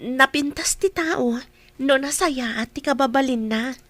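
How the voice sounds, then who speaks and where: A woman's voice: high-pitched, unhurried, a bit bright, dry recording. A woman playing a young, wounded daughter with an Ilocano accent in a radio drama. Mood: guarded. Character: young daughter, wounded by past ridicule of her looks.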